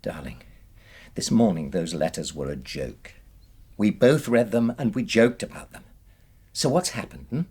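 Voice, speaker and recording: An actor playing an aggrieved, defensive middle-aged British husband in a radio drama, indignant and self-justifying. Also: male voice, lowish voice, a little slow, pauses often, darkish tone, husky.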